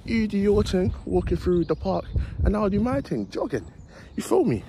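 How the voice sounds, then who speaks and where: A young man with a London accent chatting in slang to his phone camera while out jogging, with lively asides. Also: high voice, moderate pace, gravelly, slight background noise. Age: young adult. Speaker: vlogger. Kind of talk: casual vlog talk to camera. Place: outdoors in a park and streets at dawn, also a bedroom. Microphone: phone held at arm's length.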